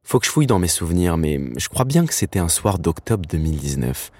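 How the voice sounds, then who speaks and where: A man in his thirties playing a dreamy, stubborn aspiring screenwriter who narrates his own story in a fiction podcast, with a husky voice. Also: deep voice, sing-song, brisk, a little mellow, dry recording. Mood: nostalgic. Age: 30s. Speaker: narrator and lead character.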